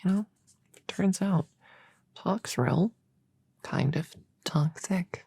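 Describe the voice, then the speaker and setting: Young novice witch played by a woman, low-pitched and breathy, friendly but unsure of herself, hushed ASMR roleplay delivery. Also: drawn-out words, no echo, full character voice. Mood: playful, relaxed. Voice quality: breathy, soft. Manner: hesitant, rambling, intimate chatter to a friend. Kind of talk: soft ASMR roleplay, partly whispered.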